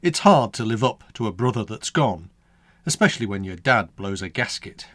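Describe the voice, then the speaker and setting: A man reads his own rhyming children's poem in a mid-pitched voice that rises and falls with a wide, animated lilt. His sing-song, storybook delivery leans into every rhyme. The sound is close and dry, with no room around it. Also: no echo.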